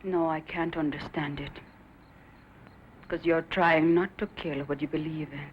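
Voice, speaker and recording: A woman's voice: low-pitched, fairly even intonation, measured pace, muffled recording, a little hiss or hum. An actress playing an earnest, devout village woman in a western drama, solemn, fervent and pleading. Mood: reproachful, earnest.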